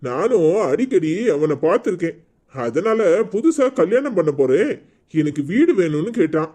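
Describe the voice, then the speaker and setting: A man's voice: a little high, big pitch swings, moderate pace, mellow. A man voicing a blunt, indignant older village man, scolding and exclaiming in a cartoon drama. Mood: matter-of-fact.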